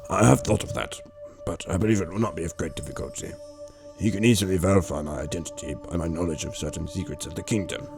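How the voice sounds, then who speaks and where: Man playing a very deep-voiced old exiled king. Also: creaky, music in the background. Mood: earnest, solemn. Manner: measured, formal, deliberate storytelling. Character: old exiled king, gentle wise grandfather. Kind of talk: amateur radio drama acting.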